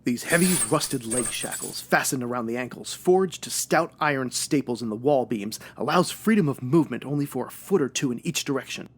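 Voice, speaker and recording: A man playing an earnest, stern, dryly patient superhero with a husky voice, in the manner of an old radio serial. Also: mid-range voice, lively intonation, a little fast, few pauses, slight background noise, lightly acted.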